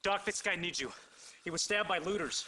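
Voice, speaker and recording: A blunt rescue worker from a disaster video game. His voice is high for a man and forceful. He speaks with gruff urgency, handing casualties over to a doctor. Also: fairly quick, exaggerated voice. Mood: urgent. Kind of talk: video game live-action acting.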